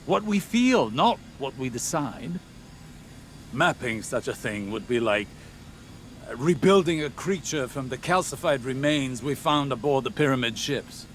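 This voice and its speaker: An actor playing a weary, scholarly older male mystic in a video game, speaking in a measured, formal, erudite way. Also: medium pitch, animated, slight background noise, natural voice. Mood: lecturing, contemplative. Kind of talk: scripted video game dialogue.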